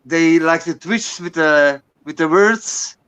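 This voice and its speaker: A man's voice: fairly high pitch, slow pace, a bit bright, smooth. A man in a casual beer video call, searching for words in English as he shows off his beers.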